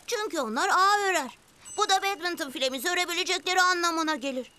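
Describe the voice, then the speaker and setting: A woman's voice: very high-pitched, fast talker, pushed and bright. A woman voicing a friendly, helpful young rabbit boy who explains encouragingly in a children's cartoon dub. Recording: music behind the voice.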